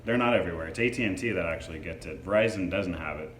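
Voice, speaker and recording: A man's voice: low-pitched, very fast, fairly bright tone. A man reviewing a product in a casual, hands-on demo, explaining features informally. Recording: echoey room with faint background noise.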